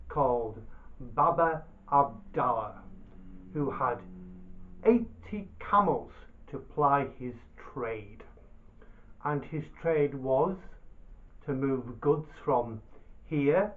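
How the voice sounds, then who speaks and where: Through a thin, phone-like recording, a man tells an old folk tale. His voice sits a little high for a man, and he takes his time over each phrase. The delivery is theatrical and deliberate, built on pauses and repetition. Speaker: storyteller.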